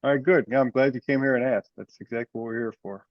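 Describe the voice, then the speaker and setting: A man's voice: medium pitch, steady tone, fast, dry recording. A man chairing an informal meeting over a teleconference, talking casually. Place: remote teleconference call. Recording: dull, dry teleconference audio.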